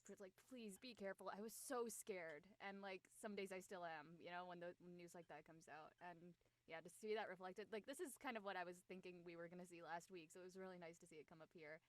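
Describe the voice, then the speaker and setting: A woman in casual chat, expressive and peppering her talk with "like". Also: lowish voice, quick pace, few pauses, no room sound, low-quality recording. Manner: expressive, uses like often. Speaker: talk show panelist.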